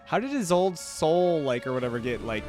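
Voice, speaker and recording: A man's voice: fairly high pitch, very animated, fairly quick. A man hosting a reaction video, commenting casually and musing aloud in questions. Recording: music playing behind the voice.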